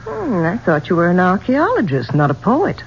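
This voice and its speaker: A woman's voice: on the low side, wildly swooping pitch, moderate pace. An actress playing a gracious, modest female singing star of the 1920s in a radio drama, polished and a little fluttery. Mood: curious, teasing. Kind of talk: 1970s radio drama acting. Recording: muffled, noisy old radio broadcast.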